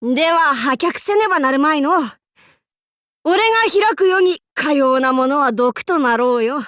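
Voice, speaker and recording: A woman's voice: high-pitched, lively intonation, moderate pace, a bit bright, no echo, studio quality. A woman voicing a bold, androgynous young demon-king warlord in an anime game, with boastful, archaic lordly speech and playful swagger. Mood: proud, resolute. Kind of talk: anime game character voice lines.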